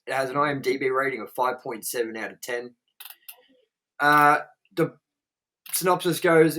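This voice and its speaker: A man's voice: mid-range voice, a bit bright, rough recording. Blunt, foul-mouthed young male host. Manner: swears a lot, blunt and frank. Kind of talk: casual review chat.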